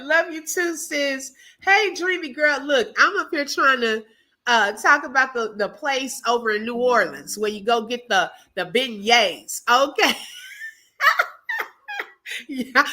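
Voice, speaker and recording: A woman with a Texas accent hosts a casual live stream and chats easily with her viewers. Her voice is bright and forceful, pitched a little high, and she laughs between comments. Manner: chatty and laughing, calls viewers baby and girl.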